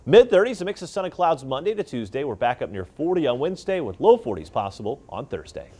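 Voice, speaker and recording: Fast-talking American TV weatherman. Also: medium pitch, very animated, darkish tone. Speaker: TV weather forecaster. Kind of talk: live broadcast weather forecast.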